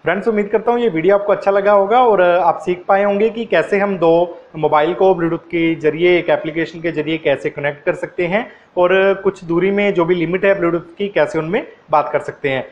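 High-pitched young man, dull recording. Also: normal speed, few pauses, a little hiss or hum. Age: young adult. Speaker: tech channel host. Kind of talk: presenting a tutorial to camera. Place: small indoor office or shop. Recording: dull, lo-fi camera sound.

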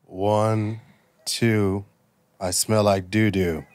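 Man doing a slow, deep, pitiful cheerleader. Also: flat delivery, darkish tone, no room sound. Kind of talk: improvised comedy sketch.

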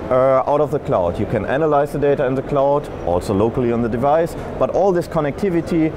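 A man's voice: mid-range voice, expressive, quick pace. A man in his thirties explaining a product demo at a trade show booth, eager and gesturing as he talks. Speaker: company expert. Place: busy trade show hall, indoors. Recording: noisy hall background.

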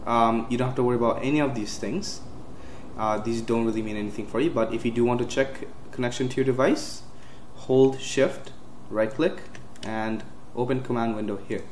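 A young man giving a casual step-by-step computer tutorial, with frequent uhs and quick self-corrections. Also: mid-range voice, fairly even intonation, a little mellow. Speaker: tech tutorial host. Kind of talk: screen-recorded software walkthrough. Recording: roomy with faint hiss.

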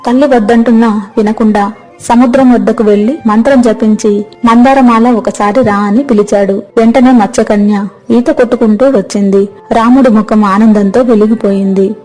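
A woman's voice: a little high, fairly even intonation, moderate pace, mellow, faint music behind, poor audio. A woman narrator reading a scripted story.

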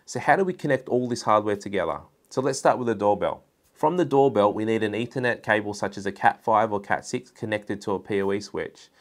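A man's voice: lowish voice, a little fast. A man in his forties presenting a product explainer to camera in an informal, instructional way. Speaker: presenter for a networking and security business. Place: indoor studio room at a desk.

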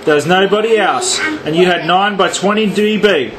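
A man's voice: high-pitched, normal speed, crowd noise, low-quality recording. An Australian man chatting on CB radio in slang, with repetitive, showy catchphrases and sign-offs. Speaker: CB radio operator. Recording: static-laced radio ambience, background noise.

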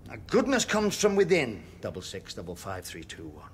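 An actor playing a zealous, pompous prison chaplain in a radio drama, with a declamatory way of preaching. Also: male voice, lowish voice, wildly swooping pitch, normal speed, slight background noise. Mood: grave, hesitant. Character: fire-and-brimstone prison chaplain, zealous, pompous, troubled by doubt.

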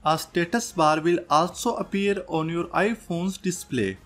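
A man's voice: on the high side, unhurried, fairly bright tone. A man presenting a tech tutorial as a scripted voice-over, going through instructions step by step. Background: background music.

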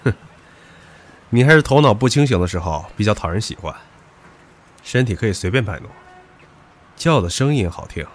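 An actor playing a brash, hot-tempered young subordinate who taunts crudely in an audio drama. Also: male voice, fairly low pitch, expressive, a little fast, pauses often. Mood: taunting. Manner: mocking, crude, provocative. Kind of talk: modern audio drama acting.

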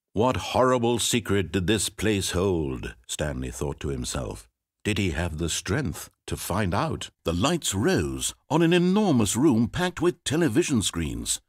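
An actor playing a dry, sardonic male British storybook narrator in a video game, with a slightly husky voice. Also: fairly low pitch, no echo. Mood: mock anguish. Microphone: close studio mic. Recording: dry studio recording.

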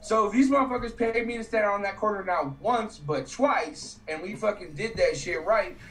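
A man from New Mexico, a guest on a casual video call interview, talking in an animated, slangy way and swearing freely from his home studio. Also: high voice, normal speed, recorded from a distance. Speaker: guest actor. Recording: roomy video call audio from off-mic.

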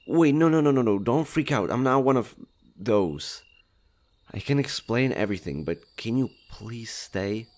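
A man's voice: mid-range voice, animated, a little slow, darkish tone, lightly acted. A man playing a shy, nervous, friendly skinwalker in human disguise in a roleplay audio drama, hesitant and stammering. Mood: panicked pleading.

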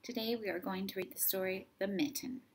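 A woman's voice: mid-range voice, steady tone, fairly quick, a little hiss or hum. Woman reading a picture book aloud. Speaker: story time host reading a children's book. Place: indoors at home, sitting by a stone fireplace.

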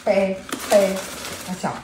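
A woman's voice: medium pitch, level tone, slow. A young woman playing with her babies at home, chanting rhymes in sing-song baby talk into a phone camera. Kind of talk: playful baby talk to small children. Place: home living room and kitchen, indoors. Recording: echoey room with faint noise.

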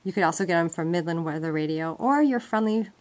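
A lower-pitched woman talks through a hands-on tutorial in a practical, conversational way. She explains each step informally. The recording is very noisy, like amateur handheld camera sound made indoors. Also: expressive, quick pace. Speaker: instructor demonstrating a gadget. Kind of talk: informal step-by-step how-to demonstration. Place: indoors at a wooden table. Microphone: handheld camera mic, off screen. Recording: noisy amateur camcorder sound with clicks and beeps.

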